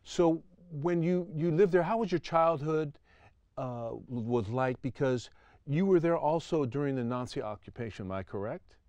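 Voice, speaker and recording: A man's voice: fairly high pitch, expressive, moderate pace, a little mellow, dry recording. A man hosting an interview show, greeting his guest with enthusiastic praise and asking questions with frequent murmurs of agreement. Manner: enthusiastic, effusive, backchannels often.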